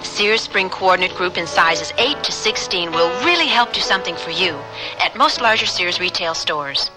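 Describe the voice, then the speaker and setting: A woman's voice: lowish voice, animated, normal speed, music in the background, rough recording. A woman giving a scripted radio commercial read as an announcer.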